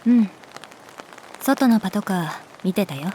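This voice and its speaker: A woman voicing a calm, resigned, gently protective art teacher in a Japanese audio drama, speaking in a soft, measured, wistful way. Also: mid-range voice, a little hiss or hum, natural voice, low-quality recording. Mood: calm, tender. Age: adult. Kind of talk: Japanese audio drama acting, intimate dialogue.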